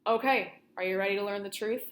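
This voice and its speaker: A woman's voice: medium pitch, fast talker, lightly acted. A woman voicing a cocky, indignant male wolf from a storybook, chummy and pushy, talking theatrically straight to the listener. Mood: chummy, persuasive. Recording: roomy, echoing room sound.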